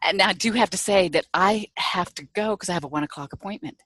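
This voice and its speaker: A woman's voice: medium pitch, fast talker, fairly bright tone, dry recording. A woman sharing her thoughts in a rambling, reflective way on a group video call.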